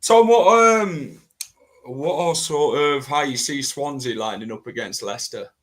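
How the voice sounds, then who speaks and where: A man's voice: medium pitch, expressive, a little slow, fairly bright tone. Male host, blunt and chatty, mid-range voice. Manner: blunt, chatty, mild swearing. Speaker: podcast host. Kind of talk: casual football podcast chat.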